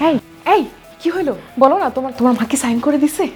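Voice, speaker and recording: An actress playing a scheming, greedy daughter-in-law who scolds and nags in a melodramatic drama. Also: female voice, sits high, fast, soft background music, lightly acted. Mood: impatient. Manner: scolding, nagging, sharp-tongued. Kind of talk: melodramatic short drama acting.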